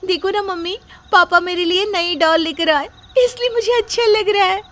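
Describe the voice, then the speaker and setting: A woman's voice: extremely high pitch, normal speed, background noise. A woman voicing a cheerful little girl in a family story. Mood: childlike. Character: small girl, cheerful and innocent.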